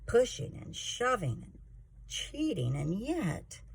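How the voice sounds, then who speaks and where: A woman's voice: mid-range voice, sing-song, slow, vocal fry, slight background noise. A woman reading a rhyming children's story aloud in a theatrical, emphatic way. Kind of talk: expressive rhyming storybook narration.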